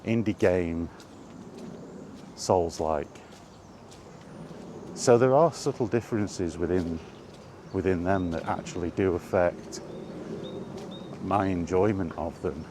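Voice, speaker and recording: A man chatting casually in a rambling solo monologue, halting and musing, often repeating his phrases, recorded outdoors. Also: sits low, noisy background. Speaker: host of a gaming chat series. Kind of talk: casual rambling monologue about video games.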